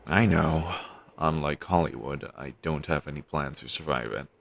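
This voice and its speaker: Low, gravelly, morbid undead best friend acted by a man. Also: a bit bright, full character voice. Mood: darkly joking. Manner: halting, sighing, sardonic delivery with trailing hesitations. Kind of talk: intimate scripted audio roleplay monologue.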